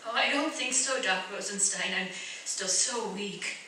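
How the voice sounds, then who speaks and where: A woman's voice: mid-range voice, a little slow, sharp tone. A woman playing a complaining, self-pitying, intolerant invalid wife in a radio play. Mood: plaintive, weak. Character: fretful, intolerant hypochondriac wife in a wheelchair. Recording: echoey hall from far off, faint noise.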